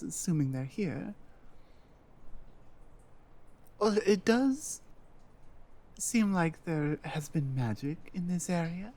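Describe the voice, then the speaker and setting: A woman playing an earnest, loyal warrior-healer companion in a fantasy ASMR roleplay, with a hesitant, halting delivery. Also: low-pitched, dark tone. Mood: wry, impressed. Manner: hesitant, halting, with stammered restarts. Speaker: audio roleplay performer.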